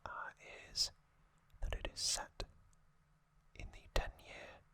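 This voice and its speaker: An ASMR host whispering trivia narration slowly and deliberately in short phrases, with a voice slightly strained from singing. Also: bright, forceful tone. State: voice slightly off after singing hard.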